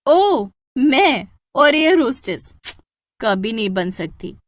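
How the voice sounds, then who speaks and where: A woman's voice: a little high, sing-song, takes it slowly, no room sound, clean studio sound, voice pushed up. Woman voicing a dreamy, lilting young heroine. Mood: wistful. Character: dreamy, self-doubting young woman longing to fly. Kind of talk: animated cartoon story dialogue.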